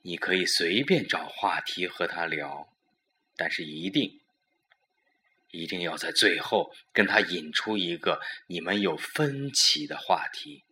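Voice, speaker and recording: A man playing a smug, scheming murder plotter in a suspense audio drama, coaxing his lover with emphatic, deliberate instructions. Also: low voice, lively intonation, pushed and bright, full character voice. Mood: scheming, instructing.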